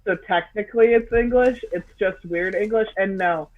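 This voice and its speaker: A man's voice: high-pitched, moderate pace, narrow, tinny audio. A man in his twenties, a native Scots speaker, chatting casually and jokily as co-host of a group livestream on a video call.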